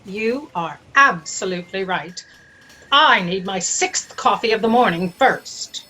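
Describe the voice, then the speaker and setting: A woman's voice: medium pitch, animated, a little slow, background noise, lightly acted. A woman playing an imperious, exasperated boss of a cosmic office in a comedic audio drama, speaking in haughty declarations. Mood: lofty.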